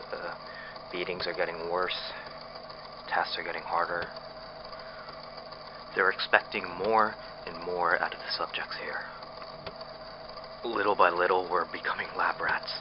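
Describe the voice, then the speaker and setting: An actor playing a frightened, bitter captive test subject recording an escape diary in an audio drama, speaking in a halting, hesitant way. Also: male voice, sits low, flat delivery. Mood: grim, weary. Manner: halting, hesitant, breathy sighs. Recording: muffled lo-fi tape with clear noise.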